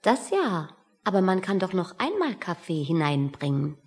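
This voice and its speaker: A wry, questioning woman in a radio play. Her voice is extremely breathy and husky, a little low, with lively melody. She probes drily. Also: normal speed, full character voice. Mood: probing. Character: questioning, wry woman waiting outside a meeting.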